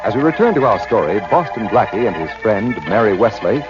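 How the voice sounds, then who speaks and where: A man's voice: lowish voice, very animated, brisk. A man announcing in 1940s radio style, reading sponsor copy and story recaps as scripted narration. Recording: dull 1940s radio recording with music.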